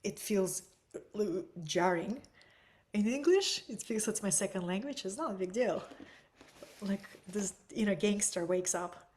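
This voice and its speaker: Russian-accented woman, webinar teacher, soft, breathy delivery with whispered asides. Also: mid-range voice, lively intonation, measured pace. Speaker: energy healing teacher.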